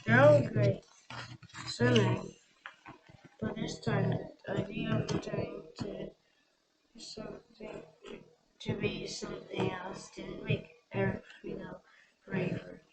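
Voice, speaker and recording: A young reader reading a children's picture book aloud, haltingly, stumbling over and repeating words. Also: medium pitch, steady tone, loud music behind the voice, poor audio. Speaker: story reader.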